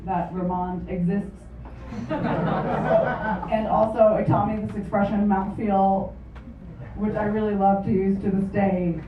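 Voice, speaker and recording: A woman whose first language is Japanese, doing a stand-up comedy set on a club stage with wry, deadpan storytelling, caught on a phone voice memo from the audience. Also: medium pitch, a little hiss or hum. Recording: lo-fi phone recording from far off in an echoey club. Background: audience laughter.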